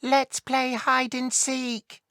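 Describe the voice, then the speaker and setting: A woman's voice: high-pitched, level tone, drawn-out words, a bit bright, dry recording, studio quality, voice pushed up, exaggerated voice. A woman voicing a small, tender-hearted young male elf in a children's storybook, earnest and childlike. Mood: playful. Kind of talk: children's storybook read aloud.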